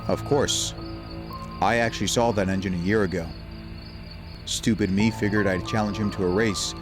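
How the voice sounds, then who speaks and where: A low-pitched, smug steam engine acted by a man who speaks with ominous deliberation, as if spinning a dark tale. It is an audio drama character, with music clearly behind the voice. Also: steady tone, moderate pace, close to an everyday voice. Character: scheming, smug male engine, spinning ghost tales. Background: music, cricket and insect night sounds.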